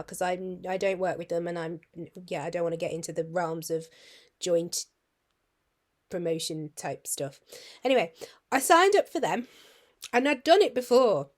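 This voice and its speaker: A British woman with a husky, hoarse voice, chatting casually to her viewers in a rambling, friendly way that sometimes drops to a near-whisper. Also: medium pitch. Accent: British English. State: husky, hoarse after surgery tubes. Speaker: vlogger.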